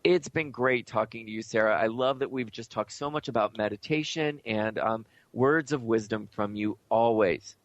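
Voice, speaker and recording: A man's voice: on the low side, normal speed, few pauses, dry recording. A man hosting a conversational spiritual talk show, speaking in an informal, earnest and reflective way. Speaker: radio show host. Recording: dull lo-fi audio.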